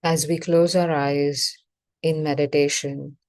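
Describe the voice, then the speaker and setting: A woman leading a guided meditation as facilitator, speaking in measured short phrases with pauses between them. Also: sits low, little pitch movement, darkish tone, no room sound.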